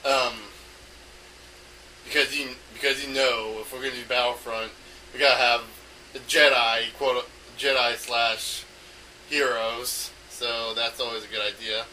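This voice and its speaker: A man with a strikingly sharp, bright voice talks off the cuff in a hesitant, rambling way, working out his ideas aloud. His pitch sits in a middle range. He sounds set back from the microphone in an amateur recording. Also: flat delivery. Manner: hesitant, halting, thinking aloud. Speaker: amateur game designer recording an audio log. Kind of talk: unscripted rambling explanation. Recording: noisy home recording, distant from mic.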